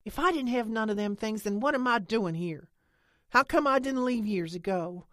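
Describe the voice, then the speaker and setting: A woman voicing a stubborn, excitable rural woman who talks in country dialect and rambles defensively. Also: mid-range voice, a little slow, no echo, clean studio sound, pitched above the performer's own voice, natural voice. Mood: defiant.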